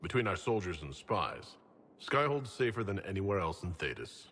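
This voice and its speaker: An actor playing a blunt, commanding leader in a fantasy game cutscene, terse and direct. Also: male voice, sits low, very animated, moderate pace, a bit bright. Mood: blunt, serious. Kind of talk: scripted video game cutscene acting. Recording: long hall reverb, background ambience noise.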